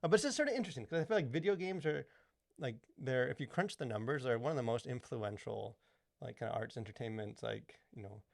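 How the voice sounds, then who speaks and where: A man's voice: medium pitch, lively intonation, quick pace, vocal fry, dry recording, clean studio sound. A man co-hosting a podcast, chatting casually and rambling with lots of fillers. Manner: rambling, many fillers like "like" and "you know". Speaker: podcast co-host. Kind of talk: casual chat.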